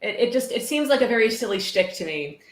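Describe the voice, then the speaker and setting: A woman's voice: on the high side, animated, fast talker. A woman hosting a live-streamed reading, commenting casually in a wry, sardonic way with some swearing. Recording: roomy, echoey sound.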